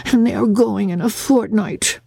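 A woman's voice: medium pitch, moderate pace, creaky, warm tone, husky, no echo, clean studio sound, pitched above the performer's own voice. A woman voicing a giddy, gossipy, careless teenage girl in an audiobook, gushing and chattering. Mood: careless, chatty.

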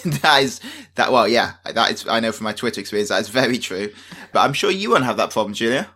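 A man with a Manchester accent chats as a podcast co-host, his voice bright and forward. He rambles and restarts often, and he pokes fun at himself. He also throws in wry asides. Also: fairly low pitch, lively intonation, fast, slightly rough. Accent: Manchester English. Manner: self-deprecating, rambling with restarts and laughs. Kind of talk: casual chat.

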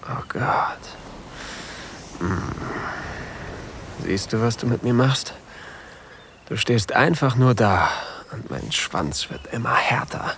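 An actor playing a possessive new lover in an intimate erotic audio drama, murmuring breathily and often whispering close to the microphone. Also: male voice, mid-range voice, lively intonation, takes it slowly, long pauses, fairly bright tone, a little hiss or hum. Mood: aroused, possessive. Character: possessive, seductive new lover, adult man, on a sailboat. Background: faint sea ambience.

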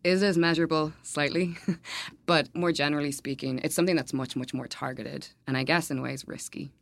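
Woman with a gravelly, fry-heavy voice. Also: low-pitched, steady tone, brisk. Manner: laughs lightly, many ums and kind-ofs. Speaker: events manager, podcast guest. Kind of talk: casual interview answers.

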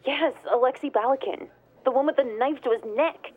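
A woman's voice: sits high, fairly quick, phone-quality sound, close to an everyday voice. An actress playing a frightened ordinary woman in an audio drama, narrating and speaking in a tense, hesitant way. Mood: nervous. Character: anxious ordinary woman, a frightened witness narrating her ordeal. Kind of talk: first-person audio drama narration and dialogue.

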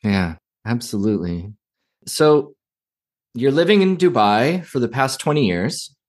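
A middle-aged man hosting a podcast, talking in a gentle, unhurried, friendly way. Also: medium pitch, very animated. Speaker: podcast host. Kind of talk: interview hosting.

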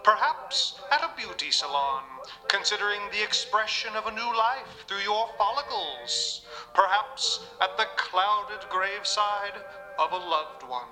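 A man's voice: sits high, animated, measured pace, sharp tone, faint music behind, heavily acted, rough recording. A man playing a folksy Southern gospel radio preacher with florid, theatrical homespun oratory. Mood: folksy, grandiose. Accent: American South. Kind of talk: old-time gospel radio show hosting.

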